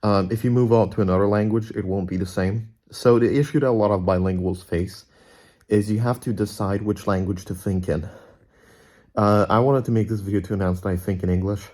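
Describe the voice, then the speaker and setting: Low-voiced young man. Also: fairly even intonation, fairly quick, darkish tone. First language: Polish. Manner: rambling, blunt, swears freely. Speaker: vlogger. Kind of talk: casual monologue to camera.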